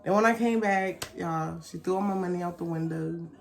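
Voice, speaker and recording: A woman's voice: fairly low pitch, fairly quick, vocal fry, faint music behind. Woman telling a candid, slangy story. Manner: animated, slangy, candid storytelling with acted-out quotes. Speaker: vlogger telling a personal story. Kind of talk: casual storytime chat.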